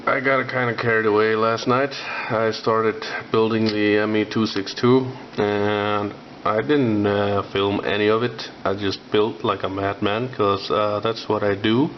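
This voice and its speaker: A young Icelandic man with an Icelandic accent, rambling about building a scale model with lots of filler sounds and pauses. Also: fairly low pitch, flat delivery. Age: young adult. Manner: halting, many filler sounds. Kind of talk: rambling hobby vlog. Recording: dull lo-fi with faint noise.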